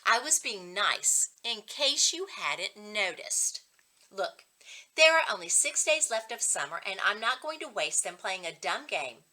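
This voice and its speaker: A woman's voice: mid-range voice, very animated, unhurried, pushed and bright, heavily acted, rough recording. A woman voicing a sullen young brother in a storybook, curt and grudging as he tries to sound bored. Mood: angry.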